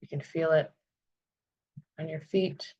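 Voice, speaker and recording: Slow, low-voiced woman leading a meditation. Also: steady tone, darkish tone, breathy edge, muffled recording. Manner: soothing, deliberate phrasing with long pauses. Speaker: guided meditation leader. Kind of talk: guided visualization meditation.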